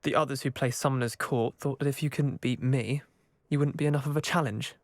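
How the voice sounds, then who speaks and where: A man's voice: medium pitch, fairly even intonation, fairly quick, no room sound, close to an everyday voice. A man playing a cocky young wizard student. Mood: grudging. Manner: boastful, then grudging. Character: young male student, cocky then gracious loser. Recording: game cutscene with music behind. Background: music.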